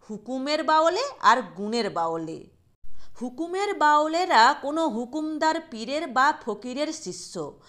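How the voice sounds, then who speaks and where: A woman narrating a literary short story in a deliberate, expressive storytelling manner. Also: high-pitched.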